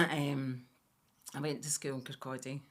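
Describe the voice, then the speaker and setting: A Scottish woman from Fife, around sixty, chatting warmly about her past as a guest on a podcast, with a lot of "aye" and "uh-huh.". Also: extremely low pitch, a little slow. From Scotland. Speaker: guest, veteran hairdresser. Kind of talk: casual interview chat.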